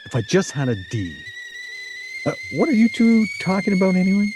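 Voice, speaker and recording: A man's voice: sits high, big pitch swings, fairly quick, over music. A man playing a fussy, distracted husband in a comic radio play, speaking hesitantly. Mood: preoccupied. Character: slow, fussy, distracted husband absorbed in board game. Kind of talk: stage radio play comedy acting.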